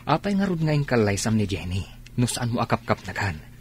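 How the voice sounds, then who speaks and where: A man's voice: medium pitch, big pitch swings, brisk, slight background noise, natural voice. A man acting a frank friend who questions and advises, in a radio drama. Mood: frank, probing.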